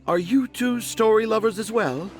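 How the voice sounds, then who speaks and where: An actor playing a self-important children's storyteller in a video game, speaking in flowery, theatrical phrases. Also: male voice, high voice, normal speed, soft background music, lightly acted. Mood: excited, grandiose. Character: dramatic, self-important male children's storyteller with lofty dreams.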